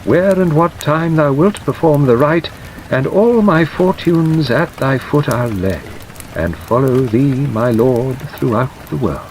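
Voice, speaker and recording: Man voicing an elderly audiobook lawyer, sentimental, worldly, melodramatic declaiming, wide swooping pitch, steady rain noise. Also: low-quality recording. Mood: melodramatic, wistful. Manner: declaiming, melodramatic, with sighs and pauses.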